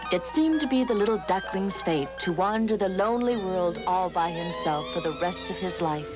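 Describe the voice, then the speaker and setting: A woman's voice: fairly low pitch, animated, moderate pace, over music, poor audio. A woman storyteller reading scripted, dramatic narration for a children's fairy tale.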